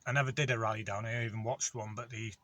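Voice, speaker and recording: A man, a van courier chatting through a casual vlog in a rambling, matter-of-fact way with many pauses and ums. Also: fairly low pitch, fairly even intonation, sharp tone, slight background noise. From North Wales. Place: in or beside his van on an industrial estate.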